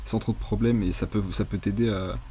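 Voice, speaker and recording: A young man with a soft, dark and somewhat husky voice walks through software in a screencast. His pitch sits fairly low. He talks casually and unscripted, hesitating and rambling in a colloquial, self-deprecating way. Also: steady tone, brisk. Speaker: tutorial presenter.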